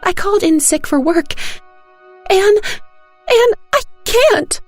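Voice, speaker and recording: A woman performs a distraught woman in an audio drama, her voice pushed high and loud. She pleads through tears, sounding desperate and close to breaking down, over faint music. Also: lively intonation, slow pace, no echo, full character voice. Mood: panicked, tearful. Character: Gloria, distraught sobbing woman pleading in despair.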